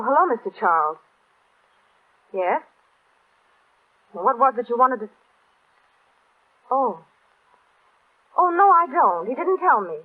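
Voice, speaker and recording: An actress playing a sly, secretive secretary who talks in a teasing, guarded way. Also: female voice, on the high side, full character voice. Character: scheming secretive female secretary, sly and cool. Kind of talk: 1930s-style radio drama acting. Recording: tinny old radio broadcast.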